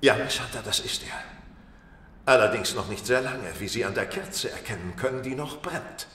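An actor playing a keen, self-assured detective in a German radio play, speaking in deliberate, formal, precise sentences. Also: male voice, mid-range voice, lively intonation, pushed and bright. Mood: assured, explanatory. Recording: roomy, distant, echoing, faint noise.

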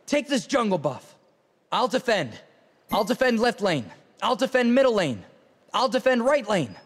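A man's voice: high-pitched, big pitch swings, normal speed, slightly rough, in a large hall, full character voice. A man voicing a cocky, playful young graffiti-artist fighter in a video game, brash and teasing with slangy short callouts. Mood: brisk, confident.

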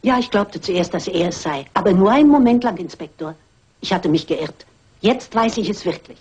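An actress playing a shrewd, self-assured elderly lady sleuth in a German radio crime play, brisk and politely commanding. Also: mid-range voice, expressive, a little mellow, slightly rough. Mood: confident. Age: older. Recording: dull, band-limited radio play recording.

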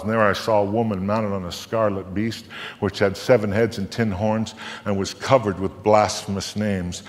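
Older man's dramatic recitation, echoing hall. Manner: solemn, deliberate, emphatic, swelling from hushed to booming. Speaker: performer reciting scripture. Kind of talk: live dramatic reading, theatrical storytelling recitation. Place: theatre stage before a live audience. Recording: echoey theatre hall, long reverb.

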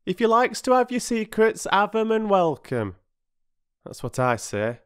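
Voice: medium pitch, sing-song, unhurried, a bit bright, smooth, pitched above the performer's own voice. A narrator voicing an indignant working-class railway porter from a children's storybook, lofty and aggrieved, with ungrammatical speech. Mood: huffy, lofty. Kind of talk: children's storybook character reading.

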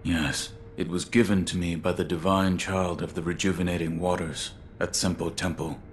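A grim, reserved male swordsman from a video game voiced by a man speaks in a very deep register. He is deadpan, formal and loyal, sparing with words. Faint ambient noise sits behind him. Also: normal speed, natural voice. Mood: stoic, solemn.

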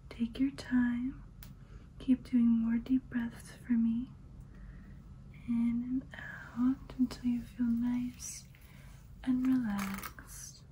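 A woman playing a soothing female vampire in an ASMR roleplay, whispering breathily in a slow, lulling way. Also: fairly high pitch, mellow, slight background noise, rough recording.

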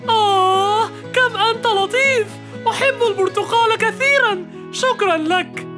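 Woman voicing a worried male carpenter, apologetic manner, high voice, music behind. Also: normal speed. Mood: grateful.

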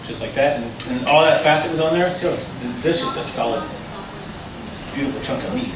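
A middle-aged man, a chef, explaining a dish in a relaxed, enthusiastic way with frequent restarts, on an outdoor restaurant patio. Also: on the high side, brisk, crowd noise. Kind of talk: cooking demonstration. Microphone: far camera. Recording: echoey, distant, dull, noisy outdoor audio.

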